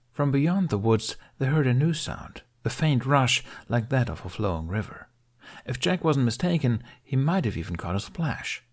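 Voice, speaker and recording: A man reading a fantasy story aloud as narrator, in an unhurried, measured way. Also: on the low side, a little mellow. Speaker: fan fiction audiobook reader.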